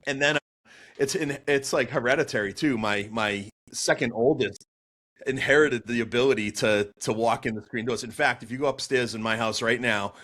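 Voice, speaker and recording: A man in his forties with a Boston accent, a podcast guest telling his story in a chatty, animated way with lots of fillers and restarts. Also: mid-range voice, normal speed. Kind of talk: storytelling interview answer.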